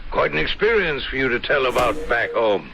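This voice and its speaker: An actor playing a gruff, knowing colonel, a man who talks deliberately and with authority in an old radio drama. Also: mid-range voice, sing-song. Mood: knowing, warning. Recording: dull lo-fi radio recording, vehicle noise.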